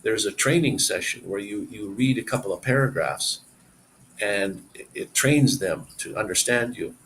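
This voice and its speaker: A man's voice: fairly low pitch, moderate pace, a bit bright, a little hiss or hum, poor audio. A middle-aged man hosting a casual live stream, rambling as he reads viewer comments aloud and answers them.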